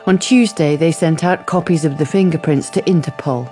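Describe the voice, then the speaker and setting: A woman's voice: sits low, normal speed, warm tone, faint music behind. A woman narrating a radio drama from a script.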